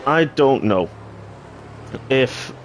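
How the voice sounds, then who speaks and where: A man giving live play-by-play commentary on a sim racing league, hoarse and clearing his throat after losing his voice. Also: medium pitch, very slow, a little hiss or hum. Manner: excitable play-by-play, rising sharply at incidents. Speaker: race commentator.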